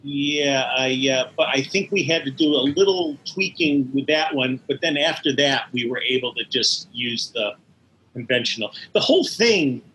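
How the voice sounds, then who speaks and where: Male animator as interview guest: bright, crisp-edged tone, explanatory and detailed, slight noise behind. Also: mid-range voice, normal speed, rough recording. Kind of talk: in-depth interview recollection.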